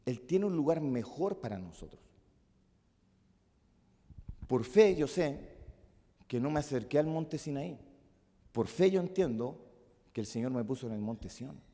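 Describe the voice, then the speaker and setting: A male pastor with a Chilean accent preaching a sermon, moving between loud emphatic peaks and soft, musing asides. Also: medium pitch. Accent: Chilean Spanish. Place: church hall. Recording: long reverb of a church hall.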